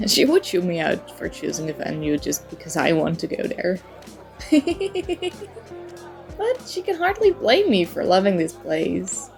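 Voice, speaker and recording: A woman's voice: on the low side, sing-song, takes it slowly, creaky, pauses often, full character voice. A woman playing a flirty, charming stranger at a party bar in an ASMR roleplay, teasing and coy as she talks. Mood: playful confiding. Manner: playful, teasing, coy, mock-apologetic. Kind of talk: ASMR roleplay, acted one-sided conversation. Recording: soft music and party chatter behind. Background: music and crowd murmur of a party bar.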